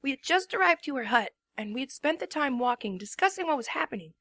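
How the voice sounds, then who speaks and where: A woman's voice: high-pitched, lively intonation, fast, a bit bright. Worn-out young pegasus girl voiced by a woman telling her tale, drowsy and plain-spoken. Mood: tired. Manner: first-person storytelling, drowsy. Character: tired tomboyish young female pegasus narrating her story. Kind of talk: first-person narration in a fan dramatic reading.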